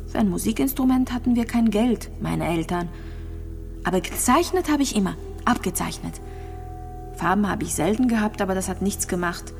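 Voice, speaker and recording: An actress playing a gravely ill, lonely young woman in a radio drama, speaking haltingly as she recalls things and repeats herself. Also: mid-range voice, expressive. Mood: nostalgic. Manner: halting, searching, repeats phrases. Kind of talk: radio drama monologue, intimate recollection. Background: music.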